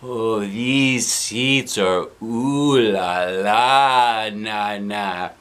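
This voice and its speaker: A man voicing a brash, excitable, gushing kindergarten girl in a storybook, childish and over-dramatic. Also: on the low side, drawn-out words, a little hiss or hum.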